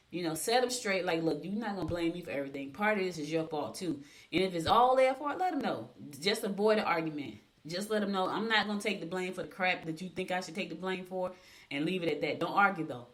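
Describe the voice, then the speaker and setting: A woman with a slightly low voice hosts a radio segment. She reads horoscopes and adds casual advice. Her delivery is blunt and emphatic, and she repeats key points to drive them home. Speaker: radio host.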